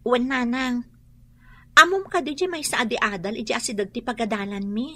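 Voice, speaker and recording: A woman's voice: on the high side, moderate pace, a bit bright. A woman playing a young, opinionated schoolgirl daughter in a radio drama. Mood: scornful, chatty. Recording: prominent music behind the voice.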